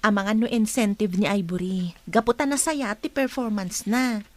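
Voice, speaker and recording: A woman's voice: mid-range voice, moderate pace, natural voice. An actress with an Ilocano Filipino accent playing a matter-of-fact female office worker in a radio drama.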